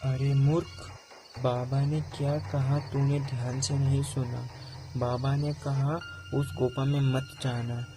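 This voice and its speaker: A man acts out a naive young scholar from a cartoon fable, earnest and theatrical. He talks at a slow, spelled-out pace in a mid-pitched voice. Clear music runs underneath. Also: flat delivery, close to an everyday voice. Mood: sly, curious. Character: foolish, excitable young Brahmin student travelling through a forest. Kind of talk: Hindi cartoon moral-story dialogue.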